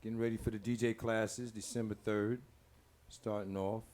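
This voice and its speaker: A man's voice: on the low side, flat delivery, moderate pace, darkish tone. A man who works as a DJ, chatting casually in a laid-back, slangy way. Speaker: show DJ and DJ class teacher. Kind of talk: casual chat.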